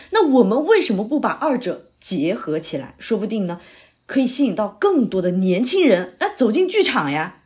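An eager male playwright in an audio drama , voiced by a woman, pitching ideas in a chatty, persuasive way. The voice sits mid-pitch and swings widely up and down as he talks. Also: natural voice. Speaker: playwright character.

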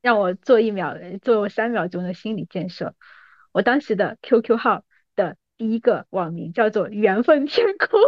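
A woman's voice: medium pitch, animated, moderate pace, no room sound, highs cut off. A woman in her thirties talking casually as a podcast guest, with a teasing, playfully coaxing manner. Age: 30s. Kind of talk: casual chat between old friends.

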